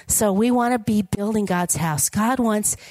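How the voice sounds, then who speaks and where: A woman with a slightly raspy, hoarse voice gives an upbeat, jokey sermon from a church platform and keeps asking the congregation to respond. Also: medium pitch, measured pace, a little mellow, dry recording. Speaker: guest preacher. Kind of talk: informal sermon with prayer.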